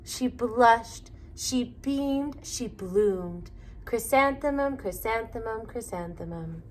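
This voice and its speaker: A woman reading a children's storybook aloud in a deliberate, expressive manner. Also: fairly high pitch, rough recording. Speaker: storybook reader.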